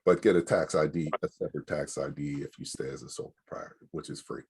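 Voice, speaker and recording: A man with a very low, deep voice talks through practical business advice. His delivery is loose and unpolished, full of fillers and small restarts. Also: fast, darkish tone, breathy edge. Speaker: speaker advising small business owners. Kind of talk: casual advice talk.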